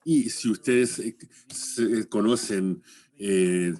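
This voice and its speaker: Husky-voiced man, halting lecture delivery. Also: on the low side, dark tone. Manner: halting, searching for words and rewording.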